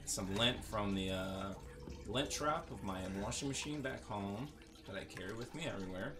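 Low-voiced man joking over a noisy game. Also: normal speed. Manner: joking, sarcastic, crude humor. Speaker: gaming streamer. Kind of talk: casual gameplay commentary.

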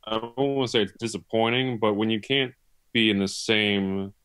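A man in his twenties answers interview questions casually on a video call. His voice is lowish, smooth and clean, as a student performer. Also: moderate pace, fairly bright tone. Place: living room, indoors. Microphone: computer mic on video call.

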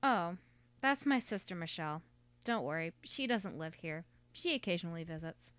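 A woman's voice: on the low side, lively intonation, normal speed, a bit bright, clean tone, no echo, voice pushed down. A woman playing a chatty, feisty teenage girl in an amateur voice drama. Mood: casual. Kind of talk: amateur animated drama acting.

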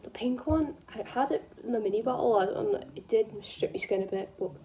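A young woman from the northwest of England vlogs casually with her regional English accent. Her voice is dark and mellow, and she rambles along with self-deprecating asides. It is a phone recording in a room at home, with faint noise behind her. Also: fairly high pitch, lively intonation, brisk. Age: young adult. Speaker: vlogger. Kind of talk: casual chat to camera while doing makeup. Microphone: phone propped close in front.

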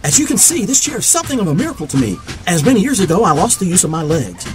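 An actor in an audio drama playing an eccentric, eager genius mechanic in a wheelchair, wordy and precise. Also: male voice, high voice, big pitch swings, quick pace, a little hiss or hum. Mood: proud, eager.

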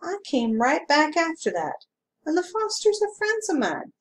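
A woman's voice: high voice, very animated, measured pace, pitched above the performer's own voice. A woman voicing a courteous, reserved older man who speaks patiently in few words. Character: courteous, tight-lipped older gentleman with gray beard.

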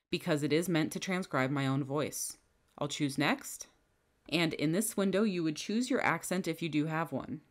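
A woman with a low-pitched voice guides the listener through a software setup one click at a time. Her delivery is clear and instructional, recorded close on a desk microphone. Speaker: software trainer. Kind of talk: screen-recorded software tutorial. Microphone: headset or bench microphone.

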